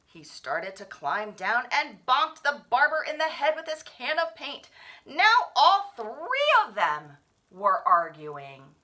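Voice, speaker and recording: High, bright woman reading stories aloud. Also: sing-song. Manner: theatrical, drawn-out refrains. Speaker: children's story reader at a library. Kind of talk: expressive picture-book read-aloud.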